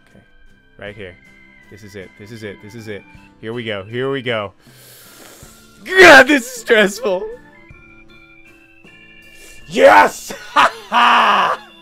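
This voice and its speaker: Animated young man doing gaming commentary. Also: very high voice, slow, vocal fry, long pauses, soft background music. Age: young adult. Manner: animated, exclaiming, repeats phrases while playing. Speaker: gaming video host. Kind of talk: excitable let's play commentary. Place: small indoor room at home. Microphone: close desk microphone, headset on.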